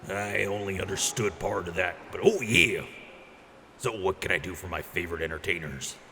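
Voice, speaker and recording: A man's voice: moderate pace, bright, forceful tone. A man playing a boisterous, generous wasteland quartermaster in an audio drama, with a hyped showman's delivery. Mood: boisterous, friendly. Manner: hyped showman with booming catchphrase outbursts. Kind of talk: post-apocalyptic audio drama acting. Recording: noisy, reverberant mix.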